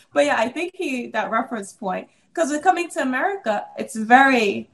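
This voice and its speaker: A middle-aged woman from the Congo, an interview guest and business owner, telling stories in a lively way and laughing often. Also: sits high, unhurried. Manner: animated storyteller, laughs often. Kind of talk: casual interview conversation.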